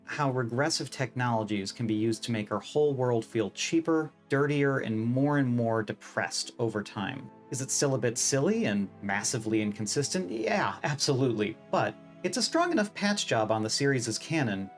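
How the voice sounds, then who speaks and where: A man's voice: mid-range voice, animated, normal speed, few pauses, faint music behind. A man narrates a scripted video essay in a wry, opinionated, casually profane way.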